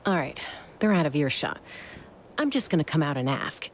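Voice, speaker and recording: A woman's voice: sits low, very animated, moderate pace, a little hiss or hum. A woman playing a cool, composed young warrior in a video game, speaking in a laid-back, assured way. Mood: frank. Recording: music behind the voice.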